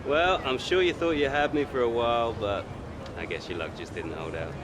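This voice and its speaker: A man's voice: mid-range voice, normal speed, a crowd in the background, lightly acted. An actor playing an arrogant young male racing driver in a video game cutscene, sarcastic and condescending. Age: young adult.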